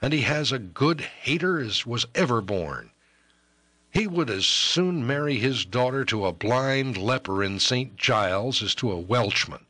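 A man's voice: medium pitch, lively intonation, unhurried, sharp tone, no echo, voice pushed up. A man voicing a young noblewoman in love, pleading and tearful, in an audiobook reading. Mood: urgent. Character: young noblewoman, anguished and passionate lover.

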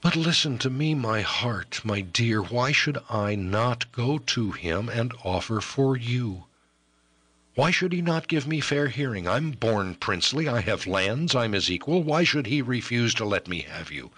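A man's voice: mid-range voice, expressive, a little slow, a bit bright, slightly rough, dry recording, voice pushed up. A man voicing a young Welsh nobleman, fierce and insistent in his devotion, in an audiobook reading. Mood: ardent. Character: young Welsh nobleman, ardent, defiant lover.

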